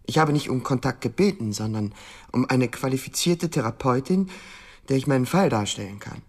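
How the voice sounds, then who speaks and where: An actor playing an agitated, self-absorbed male patient in an institution, halting and formal, pleading in a radio drama. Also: medium pitch, animated. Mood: indignant.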